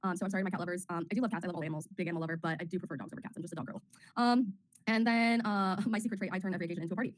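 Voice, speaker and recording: A woman in her thirties hosting a webinar in a bubbly, chatty way, her voice slightly raspy as she gets over a cold. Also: on the low side, fairly even intonation, rapid-fire. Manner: bubbly, chatty, many ums. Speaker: webinar host, marketing officer. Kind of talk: casual presenting on a webinar.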